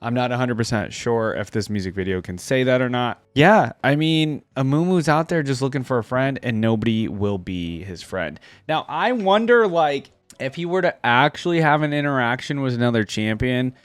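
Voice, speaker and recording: A man's voice: mid-range voice, lively intonation, normal speed, few pauses, clean tone, dry recording. A man doing casual reaction commentary as a video host, musing and thinking aloud in an informal way.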